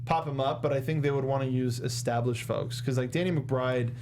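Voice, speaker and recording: A young man co-hosting a show in casual chat, jokey and a bit halting, talking close to a studio mic. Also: mid-range voice, steady tone, a little mellow, faint music behind. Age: young adult. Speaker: co-host of a movie discussion show. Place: small indoor podcast studio. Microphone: close desk microphone with red foam cover.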